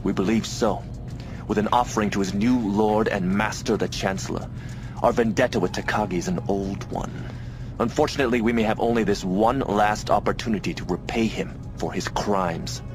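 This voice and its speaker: An actor playing a formal, scheming rebel lieutenant who speaks in a measured, stiff way. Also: male voice, fairly low pitch, natural voice, poor audio. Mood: grave. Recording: game cutscene with music behind.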